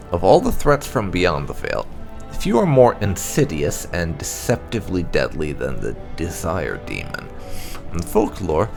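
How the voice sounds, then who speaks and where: A man reading game lore aloud as scripted narration, in a measured, dramatic storytelling way. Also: low-pitched, gravelly. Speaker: gaming video host. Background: background music.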